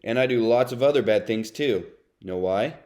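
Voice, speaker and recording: A man's voice: medium pitch, moderate pace, darkish tone, lightly acted. A man reading as a brooding little bad seed. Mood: grumpy, confessional. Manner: dramatic, confessional storybook delivery. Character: brooding, self-confessed bad male sunflower seed narrator. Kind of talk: children's read-aloud narration.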